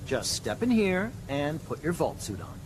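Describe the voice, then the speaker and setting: An actor playing a brisk, reassuring staff official in a video game. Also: male voice, fairly high pitch, huge pitch swings. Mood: businesslike, reassuring. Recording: loud background noise.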